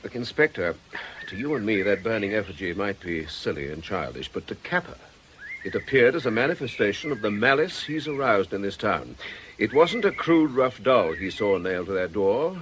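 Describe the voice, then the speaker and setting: An earnest, troubled British vicar in a radio drama. He speaks in a genteel, hesitant way, with very animated pitch movement. Faint noise sits on the old recording. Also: normal speed.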